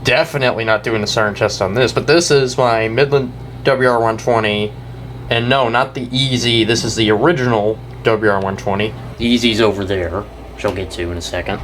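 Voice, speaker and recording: A man's voice: on the low side, fairly even intonation, fairly bright tone. Young American man, rambling over room noise. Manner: halting, rambling, self-correcting. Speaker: hobbyist video creator. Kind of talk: casual off-the-cuff show-and-tell. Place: indoors in a house. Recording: noisy room with air-conditioner hum.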